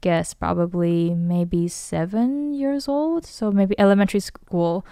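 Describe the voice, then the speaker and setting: Woman, podcast host, lowish voice, smooth and clear, dry close sound, rambling, restarts a lot. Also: a little slow, mellow, no room sound. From Taiwan. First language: Mandarin Chinese. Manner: thoughtful, rambling, many restarts and self-corrections. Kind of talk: casual podcast discussion.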